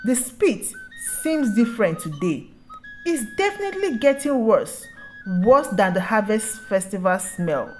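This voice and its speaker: Complaining village man, lively pitch, voiced by a woman. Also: a little slow, faint music behind. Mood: suspicious. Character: gossiping male villager, suspicious, complaining. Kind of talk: folktale storytelling.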